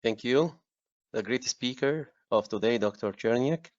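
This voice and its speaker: A man losing his voice, moderating a lecture Q&A over a video call, halting with fillers and restarts. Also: mid-range voice, a little mellow, no room sound. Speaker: course director and moderator. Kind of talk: online lecture Q&A. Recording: dull muffled web call audio.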